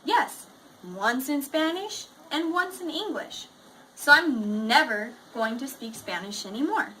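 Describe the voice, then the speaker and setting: A woman's voice: high voice, animated, measured pace, sharp tone, a little hiss or hum, exaggerated voice, rough recording. A woman voicing an exasperated, grumbling little girl from a storybook, speaking emphatically.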